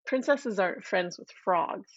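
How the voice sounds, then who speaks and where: A woman's voice: mid-range voice, expressive, unhurried, no room sound, dull audio. A woman voicing a spoiled, careless young princess who talks flippantly and dismissively. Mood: scornful.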